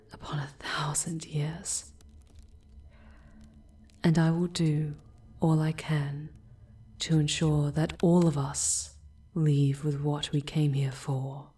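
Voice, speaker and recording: A woman playing an ancient, protective female demon in an ASMR audio drama, speaking in a breathy, formal, deliberate hush. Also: sits low, a little hiss or hum. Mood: tender, earnest.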